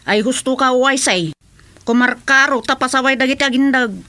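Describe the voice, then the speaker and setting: A woman's voice: high-pitched, brisk, bright, forceful tone. A woman playing a gossipy, indignant village councillor in a radio comedy drama. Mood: chatty.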